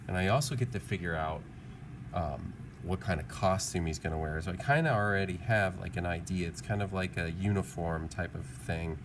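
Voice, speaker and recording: A man giving a casual drawing tutorial, thinking aloud in an informal, encouraging, musing way as an art teacher. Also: sits low. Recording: clearly audible background noise.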